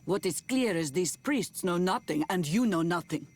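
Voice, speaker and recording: A woman's voice: lowish voice, expressive, a little mellow. A solemn, proud clan woman. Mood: stern. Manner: stern, measured, lecturing. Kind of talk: video game character acting.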